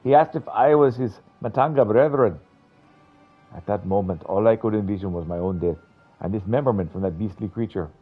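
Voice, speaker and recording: A man's voice: on the low side, brisk, husky, narrow, tinny audio, soft background music, voice pushed up. A man voicing a formal, fearful adventurer who tells things in florid, dramatic style. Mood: haunted, dramatic. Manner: grandiloquent, dramatic.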